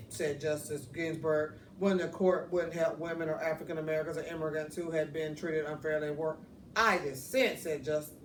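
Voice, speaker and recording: A woman reads a children's picture book aloud in an expressive, deliberate storytelling manner. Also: low voice. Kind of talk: children's read-aloud narration. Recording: roomy, distant mic, faint noise.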